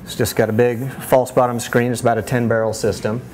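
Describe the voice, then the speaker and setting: A man in his thirties, a head brewer giving a casual tour of his small brewery, relaxed and full of fillers and restarts. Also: medium pitch, a little fast. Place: small brewery inside a bar, among steel tanks. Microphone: lapel mic.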